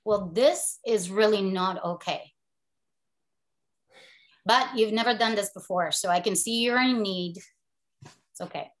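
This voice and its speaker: A woman's voice: mid-range voice, a little slow, long pauses, lightly acted. An actress playing a firm female therapist over a video call. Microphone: video call webcam.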